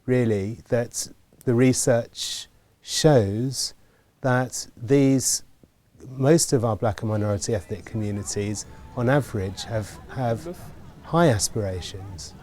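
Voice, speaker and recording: A man giving a documentary interview about education, measured and hesitant, often pausing on fillers and repeating words. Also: medium pitch, dark tone.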